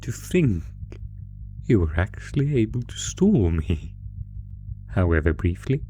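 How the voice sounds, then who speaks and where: A man's voice: low-pitched, sing-song, dark tone, faint music behind. A man playing an ancient, predatory monster who theatrically taunts human prey in a horror audio roleplay. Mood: exhilarated. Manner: theatrical, savoring, taunting, drawn-out phrasing.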